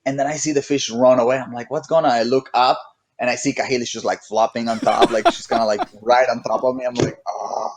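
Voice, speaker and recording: A man with a Mexican accent chatting casually as an interview guest, relaxed and rambling, with many restarts and "you know"s. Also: mid-range voice, a little fast. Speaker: guest, pro paddle racer.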